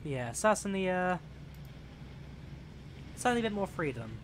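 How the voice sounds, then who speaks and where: A man's voice: high-pitched, very animated, normal speed. A young man of about twenty from England, chatting casually with his live-stream viewers while he plays. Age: young adult, turning 20. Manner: rambling and chatty, reads chat aloud with many fillers. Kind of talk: casual live-stream chat while playing a train simulator. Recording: game train noise clearly behind the voice. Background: train simulator engine and rail sounds.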